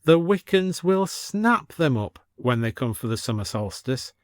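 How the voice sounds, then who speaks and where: A man's voice: medium pitch, big pitch swings, unhurried, no echo, studio quality. A man voicing a practical, enterprising village woman with a British accent in a story reading. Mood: chatty. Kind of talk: audiobook character voice.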